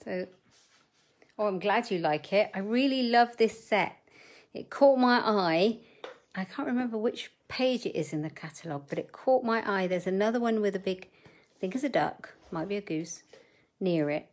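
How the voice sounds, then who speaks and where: A woman's voice: mid-range voice, animated, normal speed, a little hiss or hum. A middle-aged woman hosting a live crafting demo from her desk at home, chatting with viewers and muttering asides as she works. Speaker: papercraft host.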